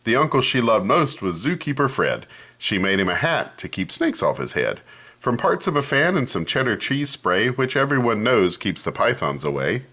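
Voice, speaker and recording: A man reading a children's picture book aloud in an expressive storytelling manner, commenting on the pages as he goes. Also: medium pitch, normal speed.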